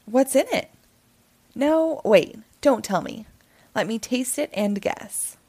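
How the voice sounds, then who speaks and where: A woman's voice: on the high side, big pitch swings, slow pace, gravelly, warm tone, slightly rough, exaggerated voice. A woman voicing a lovely, cheerful, curious witch in a children's storybook, sounding happily surprised. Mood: delighted, curious. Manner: happy, sing-song surprise. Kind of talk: animated children's storybook character reading.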